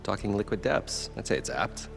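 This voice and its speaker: A man's voice: on the low side, big pitch swings, moderate pace, creaky. An actor playing an eager inventor and showman, with dramatic, flowery storytelling in a video game. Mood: matter-of-fact, eager. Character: eager, theatrical male inventor and showman chasing treasure. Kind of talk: video game cutscene acting. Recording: music behind the voice.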